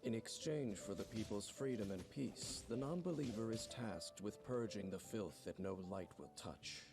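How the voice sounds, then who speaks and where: A man giving scripted promotional narration for a game, in a measured, formal storytelling delivery. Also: fairly low pitch. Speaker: video narrator. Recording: music playing behind the voice. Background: music.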